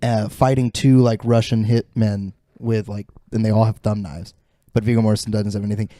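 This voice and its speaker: A man's voice: on the low side, little pitch movement, brisk, mellow, no room sound, studio quality. A man chatting casually on a podcast panel, blunt and opinionated, swearing freely. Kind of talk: casual chat.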